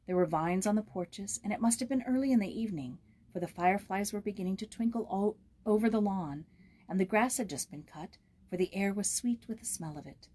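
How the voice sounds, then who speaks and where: A woman narrating a storybook aloud in a gentle, measured, expressive way. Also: medium pitch.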